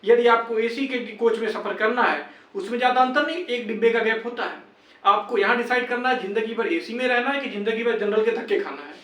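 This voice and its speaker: High-pitched young man exhorting in an echoey room. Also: brisk, a bit bright. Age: young adult. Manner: exhorting, insistent, reproachful. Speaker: exam candidate and campaign organiser. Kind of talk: motivational appeal to fellow candidates. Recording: echoey room, distant microphone.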